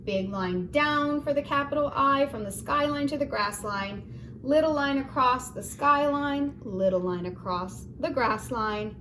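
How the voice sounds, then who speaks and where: Gentle teacher woman, roomy distant sound. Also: fairly high pitch. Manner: gentle, encouraging, singsong. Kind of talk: children's phonics lesson. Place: home. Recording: roomy, distant, noisy home recording.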